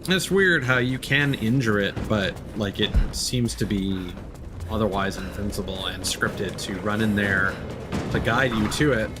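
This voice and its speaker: A man's voice: fairly low pitch, a little slow, over music. A man who streams games, thinking aloud in casual, conversational live commentary while he plays. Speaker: game streamer.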